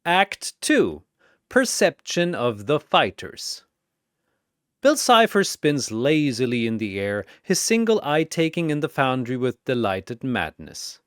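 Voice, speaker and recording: Dramatic story narrator, theatrical, emphatic, unhurried pace, wide swings in pitch, scripted announcer delivery. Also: sing-song, no echo, studio quality.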